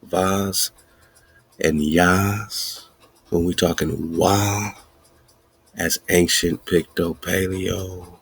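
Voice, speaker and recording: Male host, very low and monotone, husky; emphatic sermon-like talk, close dry sound. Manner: slow, emphatic, repetitive, with laughs. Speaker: podcast host. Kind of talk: casual preaching-style monologue.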